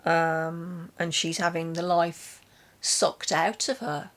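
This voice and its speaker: A woman's voice: fairly low pitch, fairly even intonation, slow, a bit bright. A British woman chatting casually about literature as a host, with a wry, opinionated way of talking. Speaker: host of a poetry reading and book chat.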